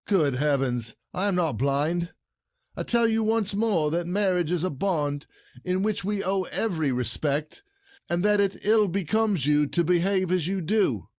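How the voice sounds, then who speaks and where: A man's voice: high-pitched, expressive, a little slow, darkish tone, no room sound, studio quality. A man playing an indignant, proud, jealous husband in a classic comedy audio play, scolding in formal old-fashioned phrasing.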